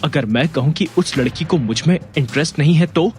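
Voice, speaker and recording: A man's voice: on the high side, fairly quick, darkish tone, natural voice. An actor playing a worried, guarded man in an audio drama, with a serious and reserved manner. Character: worried, guarded, well-bred adult man.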